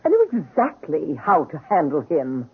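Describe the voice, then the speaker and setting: A cold, haughty society villainess in an old radio mystery. She speaks in clipped, condescending phrases with a husky voice and sweeping intonation, on a tinny recording. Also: low voice, huge pitch swings, normal speed, faint music behind, exaggerated voice. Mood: cool, confident. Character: cruel, haughty, immensely rich woman. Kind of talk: 1970s radio drama acting.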